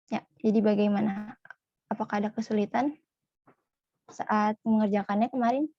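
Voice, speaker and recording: A woman's voice: medium pitch, steady tone, brisk, gravelly, dark tone, no room sound, faint music behind. A woman teaching a German class online, switching between German and Indonesian as she prompts her students with questions. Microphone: video call. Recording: dull lo-fi video call audio.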